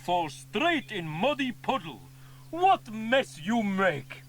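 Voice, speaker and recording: Scolding male tractor driver acted by a man, children's cartoon, exasperated, drawn-out pace. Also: high-pitched, big pitch swings, a bit bright, a little hiss or hum, voice pushed up, heavily acted. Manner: clipped broken grammar, exasperated. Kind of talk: children's cartoon character acting.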